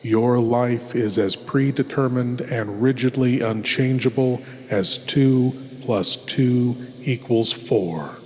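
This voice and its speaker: Guy doing a creepy courteous horror radio host, super slow n theatrical, big long reverb. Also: mid-range voice, darkish tone, natural voice. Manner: slow, theatrical, deliberate pauses. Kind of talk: scripted old-time radio horror hosting.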